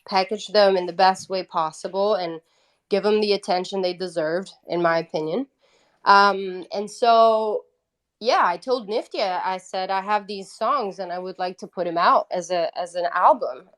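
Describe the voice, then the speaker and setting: A woman from Italy, a native Italian speaker, telling a story casually as an interview guest and acting out quoted dialogue. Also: fairly low pitch. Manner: informal, acts out quoted dialogue. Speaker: guest, independent musician.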